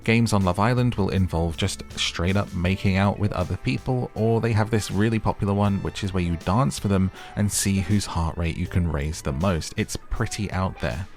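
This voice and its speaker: Very deep-voiced man recapping a show. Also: normal speed, few pauses, soft background music. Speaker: host of a recap channel. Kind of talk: casual scripted recap commentary of a reality show.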